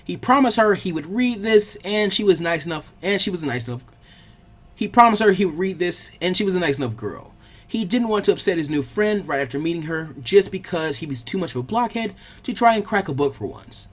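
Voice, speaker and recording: A man's voice: high voice, expressive, a little fast, a bit bright. A man reading a scripted story aloud as its narrator.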